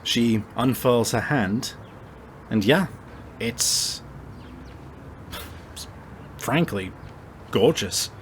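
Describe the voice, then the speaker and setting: A man narrating a tabletop roleplay game as game master, improvising in a halting, conversational way. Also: medium pitch, lively intonation, a little hiss or hum. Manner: halting, conversational, frequent searching pauses.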